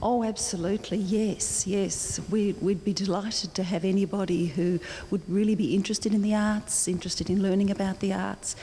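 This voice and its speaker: Older woman, Australian accent, hesitant interviewee, mellow tone, reverberant hall with background noise. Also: medium pitch, steady tone, normal speed, hardly any pauses. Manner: hesitant, repeats words while phrasing. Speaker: support group president and interviewee. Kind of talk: unscripted interview answers. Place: large indoor gallery.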